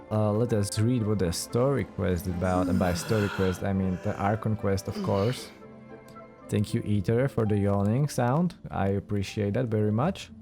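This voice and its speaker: Low-voiced man commentating a game. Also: warm tone. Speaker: let's play host. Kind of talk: casual gameplay commentary. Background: game music.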